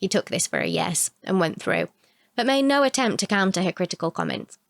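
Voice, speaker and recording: A woman reading a short story as a narrator, in an expressive, measured storytelling manner. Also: medium pitch, vocal fry, dry recording, studio quality.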